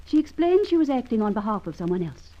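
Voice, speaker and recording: Haughty high-voiced antiques dealer. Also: female voice, very animated, quick pace, warm tone, highs cut off. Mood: cool, intrigued. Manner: formal, precise, imperious diction. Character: haughty, cool Nordic blonde female antique shop dealer. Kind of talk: old-time radio drama acting.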